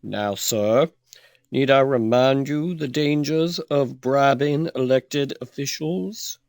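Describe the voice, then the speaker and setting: A man playing a deferential, cautioning aide in an audio drama, formal and measured. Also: mid-range voice, a little mellow, no room sound, clean studio sound. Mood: dutiful caution.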